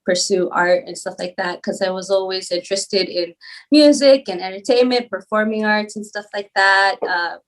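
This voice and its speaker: A woman's voice: medium pitch, normal speed. A woman from Palau, a podcast guest, talking casually and laughing often as she tells her story. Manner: rambling and laughing easily. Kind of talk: casual interview chat.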